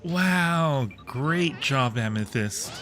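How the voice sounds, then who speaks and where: A man's voice: mid-range voice, lively intonation, drawn-out words, a bit bright, faint music behind. A man hosting a livestream, chatting casually with his viewers while playing games. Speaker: livestream host.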